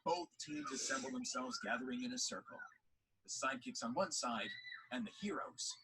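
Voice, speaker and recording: A man's voice: high voice, huge pitch swings, fairly quick, gravelly, bright, forceful tone, low-quality recording. A man reading scripted story narration for an audio drama. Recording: faint background noise.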